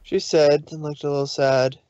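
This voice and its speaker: A man's voice: on the high side, level tone, moderate pace, mellow, no room sound. Dull-sounding male story narrator, dry.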